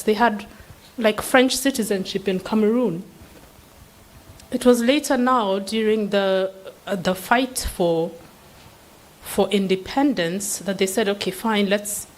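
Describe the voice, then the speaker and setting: A woman's voice: medium pitch, rough recording. A woman speaking as a seminar panelist, giving an unscripted answer in an earnest, questioning way with frequent restarts. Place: seminar room.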